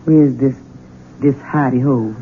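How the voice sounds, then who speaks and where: A woman's voice: extremely low pitch, measured pace, a little hiss or hum. An actress playing a long-suffering, anxious woman servant with fraught delivery in a 1970s radio drama. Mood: wary. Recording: muffled, narrow old radio recording.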